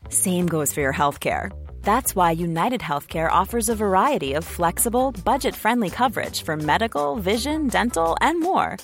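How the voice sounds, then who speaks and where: Lively woman reading an ad. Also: moderate pace, soft background music. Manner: friendly, upbeat sales pitch. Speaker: ad announcer. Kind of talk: scripted radio advert read.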